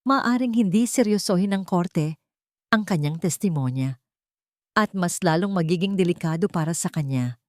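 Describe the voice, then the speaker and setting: A woman voicing a tired, scheming woman lawyer in a story, measured and earnestly persuasive. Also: lowish voice, darkish tone, no room sound, studio quality, lightly acted. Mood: feigned concern.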